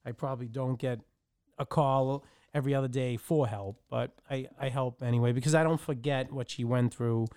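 A middle-aged man from the Dominican Republic, a podcast guest recounting his childhood in a halting way, often restarting his sentences. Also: medium pitch, fairly even intonation, darkish tone, smooth, no room sound. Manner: halting, with frequent restarts and fillers. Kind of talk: personal storytelling.

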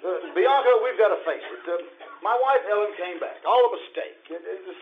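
Man playing a flustered, high-pitched jealous husband. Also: expressive, brisk. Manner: hesitant, stammering, sarcastic. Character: flustered, jealous husband caught between two wives. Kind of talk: stage radio comedy acting. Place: live stage show at an arts center. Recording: dull, noisy live theatre recording.

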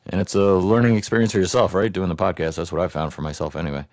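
A male podcast host with a very low, deep voice, chatting in a friendly, affirming way and tossing in tag questions. He sounds close and dry, with no room around him. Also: steady tone, fast, darkish tone, no echo. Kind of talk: casual podcast conversation.

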